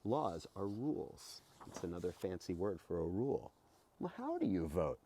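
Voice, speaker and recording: A middle-aged man, a teacher, reading a children's book aloud in an expressive, emphatic way with explanatory asides. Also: sits low, normal speed, slight background noise. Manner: expressive, emphatic, with rhetorical questions. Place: outdoors beside a house.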